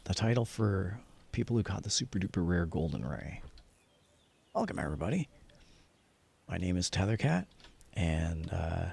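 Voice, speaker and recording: A man's voice: deep voice, very animated, moderate pace, creaky, pauses often, darkish tone, slightly rough. A man hosting a casual gaming livestream, chatty and upbeat, often muttering to himself as he sets things up. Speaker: livestreamer and host. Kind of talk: casual gaming livestream chat while setting up.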